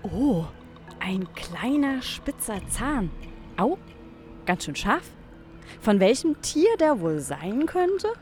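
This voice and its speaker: Woman playing a lively, curious young museum companion. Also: on the high side, slow, soft background music. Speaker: actress in an educational audio drama. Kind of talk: youth radio play acting.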